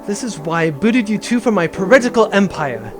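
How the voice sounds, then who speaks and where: A man voicing a proud, stern bandit queen in an audio drama, with grand, formal, deliberate speech. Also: sits high, big pitch swings, music in the background.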